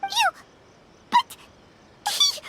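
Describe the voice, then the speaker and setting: A woman voicing a tiny, excitable childlike fairy companion in a video game, squeaky and expressive. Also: extremely slow, very noisy. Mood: reluctant, worried. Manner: exclamatory, expressive. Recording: loud background music.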